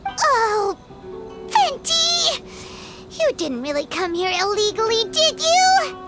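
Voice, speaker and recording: A woman voicing a tiny, excitable and blunt fairy companion in a video game, with a squeaky childlike voice. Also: slow pace, full character voice. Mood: worried. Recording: loud music behind the voice.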